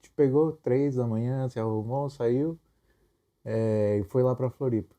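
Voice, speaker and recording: A man's voice: medium pitch, measured pace, warm tone. A young man with a Brazilian Portuguese accent, co-hosting a casual couple conversation in a laid-back way with informal slang. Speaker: podcast co-host.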